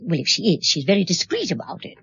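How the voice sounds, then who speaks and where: An actress playing a fussy, eager-to-please spinster neighbour in a radio drama, hesitant and gossipy, with a breathy voice. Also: female voice, lowish voice, wildly swooping pitch, fast, fairly bright tone, dry recording, highs cut off, exaggerated voice.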